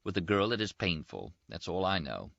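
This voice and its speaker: A man voicing a brash, teasing young Italian army surgeon in an audiobook, quick and boastful. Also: sits low, big pitch swings, vocal fry, fairly bright tone, no room sound, dull audio, full character voice. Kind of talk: audiobook character voice.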